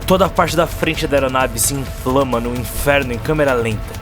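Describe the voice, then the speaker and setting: Male narrator, Brazilian accent, medium register, dramatic scripted storytelling over a clear music bed. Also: mid-range voice, a little fast.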